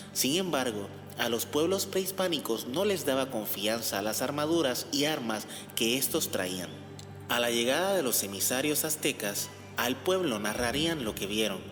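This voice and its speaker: A man reading scripted historical narration in a documentary style. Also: medium pitch. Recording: long reverb, music underneath. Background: background music.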